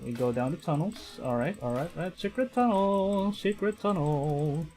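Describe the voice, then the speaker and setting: A man doing live game commentary, excitable, reading story text aloud and muttering phrases over to himself. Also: a little high, normal speed, faint music behind, rough recording. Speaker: streamer.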